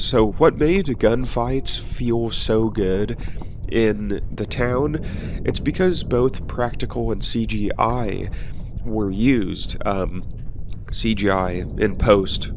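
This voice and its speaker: A man with a cold speaks slowly and sounds a bit sniffly as he hosts his weapons channel. His voice sits on the low side, and his casual commentary wanders, full of ums and uhs. A faint hiss runs under him. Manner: relaxed and rambling, with frequent ums and uhs.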